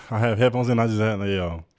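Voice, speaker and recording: A man's voice: on the low side, fairly quick, darkish tone. A man with a Southern US accent and Jamaican background, co-hosting a casual podcast chat, profane and joking, laughing often. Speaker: podcast co-host.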